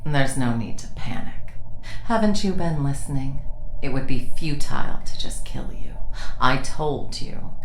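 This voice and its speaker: Woman voicing a weary immortal enemy; very low, slow, whispered delivery. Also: lively intonation, reverberant, a little hiss or hum. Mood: weary pleading. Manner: soft, slow, often whispered. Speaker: voice actor. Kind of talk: ASMR roleplay.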